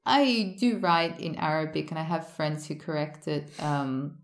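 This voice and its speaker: A woman's voice: low-pitched, a little slow, roomy sound. A woman who grew up in Australia, answering interview questions in a thoughtful, hesitant way with many fillers and restarts. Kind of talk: casual interview conversation.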